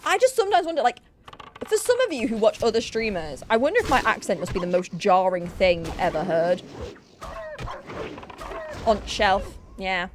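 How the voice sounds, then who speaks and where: A woman's voice: medium pitch, lively intonation, moderate pace, pauses often, background noise. A young woman with a Yorkshire accent, chatting casually and thinking aloud while streaming an online game. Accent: Yorkshire English, with some Newcastle influence. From Yorkshire, England. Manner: chatty, thinks aloud, self-deprecating, frequent restarts.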